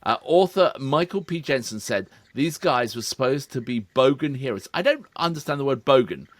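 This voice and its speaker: A man's voice: mid-range voice, animated, normal speed, dry recording. A middle-aged man, co-hosting a reaction video in casual chat, reading out notes with asides. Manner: chatty, reads background notes with asides.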